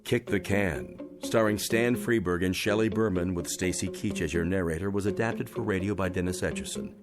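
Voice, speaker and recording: A man's voice: low-pitched, moderate pace, music in the background. A man reading scripted end credits as the announcer for a radio drama.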